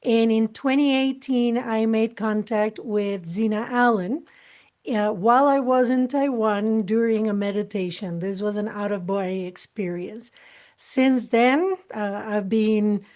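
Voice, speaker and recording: A woman from Costa Rica, a guest on a talk show, speaking in a calm, measured way with some fillers. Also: fairly high pitch. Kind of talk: interview conversation.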